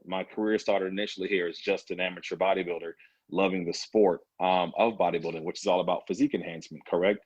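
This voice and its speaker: A man in his thirties speaks as an interview guest, telling his story in a relaxed way with plenty of fillers. His voice sits low and is recorded dry, with no room sound. The audio is slightly dull and lo-fi. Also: breathy edge, no echo. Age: 30s. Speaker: guest, personal trainer. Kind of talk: casual interview talk.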